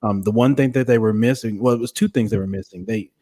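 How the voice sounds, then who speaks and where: A man's voice: lowish voice, fast talker, mellow. A man hosting a casual, conversational interview in an informal way. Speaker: interview host.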